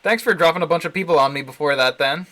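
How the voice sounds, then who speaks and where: A man's voice: fairly high pitch, quick pace, fairly bright tone. A man livestreaming casual commentary on a visual novel, thinking aloud in a rambling, jokey way. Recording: music behind the voice. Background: game music.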